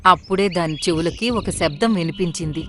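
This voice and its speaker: A woman's voice: fairly low pitch, fairly quick, faint music behind. A woman narrator reading a scripted children's story.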